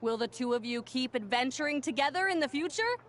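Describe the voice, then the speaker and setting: An actress playing an upbeat female tournament announcer in a video game, interviewing the finalists in a bright, showy way. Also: sits high, expressive, fairly quick. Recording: game audio with music underneath.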